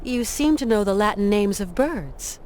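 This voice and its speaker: An actress playing an inquisitive, determined traveller in a video game, polite but direct, probing and dryly sardonic. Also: female voice, mid-range voice, animated, normal speed, a little mellow, slight background noise, lightly acted. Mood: curious.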